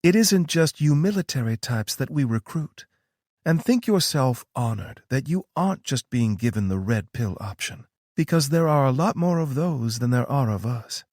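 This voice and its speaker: Man voicing a calm, knowing woman recruiter. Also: mid-range voice, normal speed, no echo, clean studio sound, voice pushed up, close to an everyday voice. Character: composed woman psychologist recruiter, adult.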